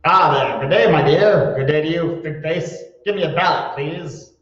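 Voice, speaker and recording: Rambling flowery swindler acted by a man for radio comedy; highish voice in a reverberant hall. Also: normal speed, fairly bright tone, a little hiss or hum, close to an everyday voice. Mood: flattering, sly. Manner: flowery, rambling, muttering to himself. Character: shady, flowery-talking old-time con man, jovially muttering. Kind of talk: old-time radio comedy acting. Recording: echoey hall, distant mic, dull sound.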